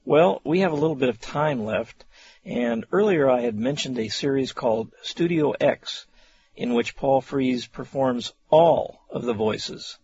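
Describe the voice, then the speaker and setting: A man's voice: medium pitch, expressive, unhurried, a little mellow. A man hosting a program of old radio, introducing material to listeners in an informal, talking style. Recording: slightly dull, muffled audio.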